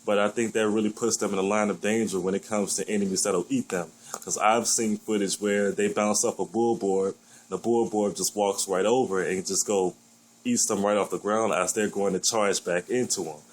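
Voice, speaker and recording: A man with a deep voice giving his blunt, opinionated take on a new game in a casual vlog, swearing freely as he gripes. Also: fairly even intonation, moderate pace, rough recording. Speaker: gaming vlogger.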